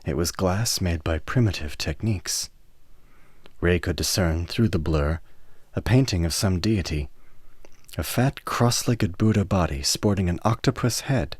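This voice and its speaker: A man narrating a scripted radio play in a measured, descriptive storytelling manner. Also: sits low, lively intonation, breathy edge, no room sound.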